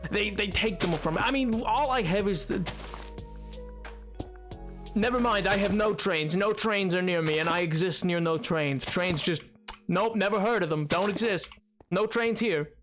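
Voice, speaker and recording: Excitable rambling streamer guy, slow talker. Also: high voice. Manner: excitable, rambling, many restarts and yelps. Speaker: game streamer. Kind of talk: casual live-stream chat.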